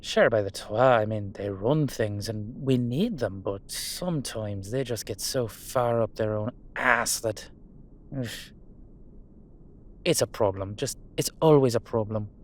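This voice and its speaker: A man playing a gruff but fond Irish dullahan in a roleplay audio drama, speaking in a colloquial, hesitant, sweary way. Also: fairly low pitch, measured pace, close to an everyday voice. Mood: tense, grumbling.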